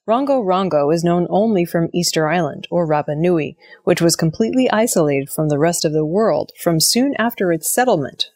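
A woman's voice: lowish voice, darkish tone, dry recording. A woman reading scripted news stories as a narrator. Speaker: news reader.

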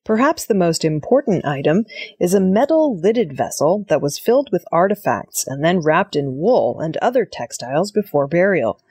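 A woman's voice: on the low side, a little mellow, breathy edge, dry recording. A woman reading scripted news narration as an audio news presenter. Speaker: news reader.

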